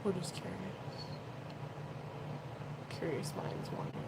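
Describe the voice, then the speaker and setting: A woman's voice: slow pace, heavy background noise. A woman in her late thirties chatting casually while doing her makeup, rambling and swearing freely. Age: 30s. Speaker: beauty livestream host.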